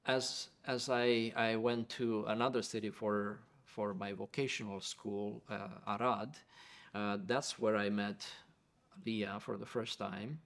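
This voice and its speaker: A middle-aged man with a Romanian accent shares his life story as an interview guest. His voice sits low, and he speaks in an earnest, reflective way, often doubling words as he searches for them. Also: fairly even intonation, slow pace, fairly bright tone. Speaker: guest, pastor and professor.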